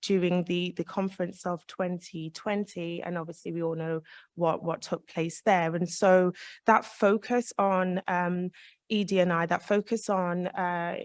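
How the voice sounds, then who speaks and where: Woman, panel academic, slightly low voice, reflective, halting delivery, dry recording. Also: steady tone, no echo. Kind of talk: unscripted panel talk.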